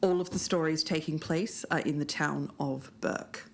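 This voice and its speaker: A woman's voice: low-pitched, fairly even intonation, normal speed, a little hiss or hum. An Australian woman in her thirties co-hosting and presenting a podcast. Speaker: podcast co-host.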